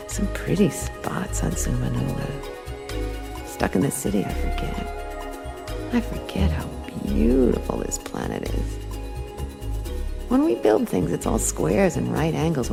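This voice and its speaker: A cool, worldly space detective in a radio play. Her voice sits low and crackles with fry as she narrates with deadpan, musing wit. Music plays clearly behind her. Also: heavily acted. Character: wry, cool, worldly female galactic detective. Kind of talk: first-person noir radio drama narration. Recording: music bed under the voice.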